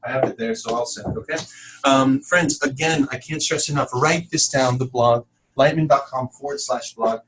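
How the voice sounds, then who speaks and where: A man teaching an informal spiritual class to a small group, talking in a halting, conversational way. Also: medium pitch, a bit bright. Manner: halting, conversational, addresses listeners as friends. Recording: distant room mic with background noise.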